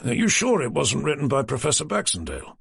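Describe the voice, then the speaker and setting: A man voicing a calm, dry-witted male chief inspector who asks measured, probing questions. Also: mid-range voice, gravelly, husky, no room sound, voice pushed up, exaggerated voice.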